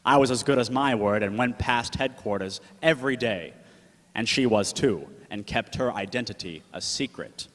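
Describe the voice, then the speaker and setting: A man's voice: medium pitch, normal speed, a bit bright, close to an everyday voice. A man acting a slangy, fond and protective 1940s gumshoe in a radio drama. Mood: wry narrating. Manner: slangy wise-guy talk. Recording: echoey hall reverb.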